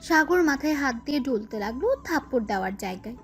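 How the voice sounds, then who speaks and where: High-voiced woman narrating a story. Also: lively intonation, fast talker. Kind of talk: scripted audio story narration. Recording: music bed under the voice. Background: background music.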